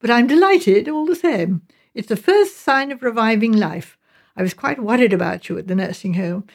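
A spirited young English sister from an audiobook novel, as a woman reader plays her. She is outspoken, chatty and mischievous, speaking with emphasis. The voice is fairly high and noticeably creaky. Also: animated, normal speed. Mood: teasing, mischievous.

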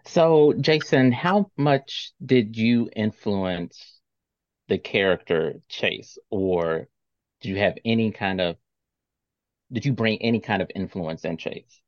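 Low-voiced host on a dull, dry mic. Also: male voice, dry recording. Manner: friendly, relaxed, quick to agree. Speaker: podcast host. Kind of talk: casual interview.

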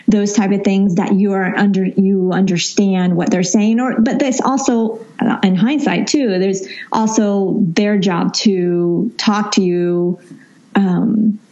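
A woman's voice: mid-range voice, steady tone, takes it slowly, mellow, muffled recording. A woman speaking as a coach being interviewed, explaining casually in a rambling way and often rephrasing herself. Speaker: podcast guest, financial counselor and money coach.

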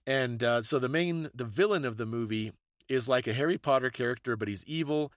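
A man's voice: mid-range voice, a little fast, no room sound, clean studio sound. A man hosting a podcast, explaining things in a casual, thoughtful conversational way. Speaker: podcast host.

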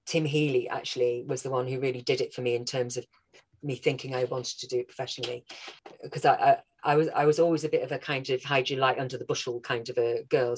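A woman from North Wales with a Scouse-tinged accent, chatting as a guest actress, enthusiastic and laughing often. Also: extremely low pitch. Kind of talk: casual interview chat.

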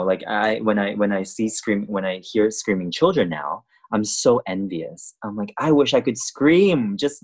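Male dancer, native Cantonese speaker, interview guest; low husky voice, reflective and halting. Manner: thoughtful, halting with many restarts and fillers. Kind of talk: conversational interview.

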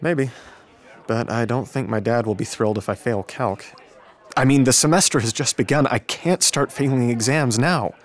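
A man plays a brooding high school boy in a radio drama. His voice sits at an average male pitch, with a casual, downbeat delivery that leans toward self-pity. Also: normal speed, natural voice. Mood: stressed, casual.

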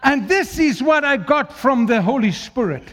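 A man from South Africa with an Afrikaans accent preaching a sermon, swinging between loud declaiming and quiet, low asides. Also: expressive, moderate pace.

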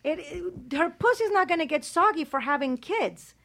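Woman hosting a raunchy chat show, high pitched, brash and bragging. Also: animated, normal speed, a bit bright. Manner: brash, boastful, blunt. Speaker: host. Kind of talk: explicit casual podcast chat.

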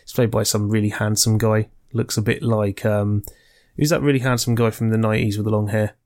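Swearing, jokey podcast host. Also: male voice, on the low side, little pitch movement, brisk, darkish tone. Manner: chatty, jokey, swears freely and teases his co-host. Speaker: podcast co-host reviewing films. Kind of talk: casual chat.

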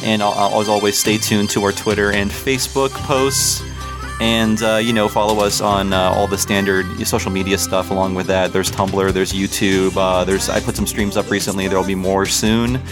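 A man with a fairly low voice chats casually as a podcast co-host. He keeps things light and jokey and scatters fillers through his sentences. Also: flat delivery, moderate pace, music in the background. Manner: joking, upbeat, filler-heavy. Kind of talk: casual chat.

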